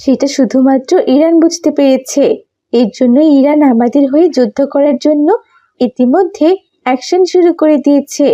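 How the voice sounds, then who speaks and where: A woman's voice: sits high, normal speed, mellow, muffled recording, close to an everyday voice. A woman voicing a fervent, hopeful young Palestinian woman in a cartoon, speaking emphatically. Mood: indignant. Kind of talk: cartoon dialogue acting.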